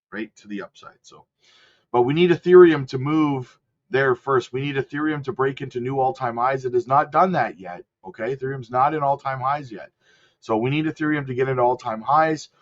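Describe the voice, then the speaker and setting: A man with a cold, host of a channel, casually talking through market charts and hedging his calls, clearing his throat now and then. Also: medium pitch. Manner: rattles off lists, chatty and hedging. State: sick with a cold. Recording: slightly dull lo-fi audio.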